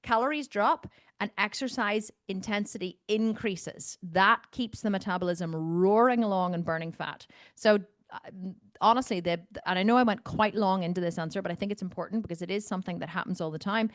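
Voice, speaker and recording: A woman with a medium-pitched voice speaks as a fitness coach answering questions on a video call. She is blunt and insistent, driving points home by repeating phrases. The sound is dry, with very little room in it. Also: brisk, no room sound. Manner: blunt, no-nonsense.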